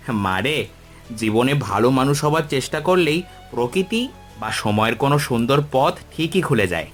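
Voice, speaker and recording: A man voicing a humble, kindly village farmer in an animated moral story, respectful and earnest. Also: medium pitch, unhurried, faint music behind, natural voice. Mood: tender, wise.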